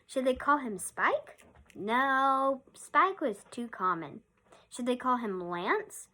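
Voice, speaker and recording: A woman with a high voice reads a children's picture book aloud. Her storytelling is expressive, and she leans on important words by drawing them out. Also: takes it slowly, slight background noise.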